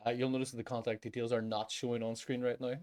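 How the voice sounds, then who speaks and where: A man's voice: mid-range voice, flat delivery, quick pace, darkish tone, clear, resonant tone. A Northern Irish man hosting a music podcast in a casual, chatty style. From Northern Ireland.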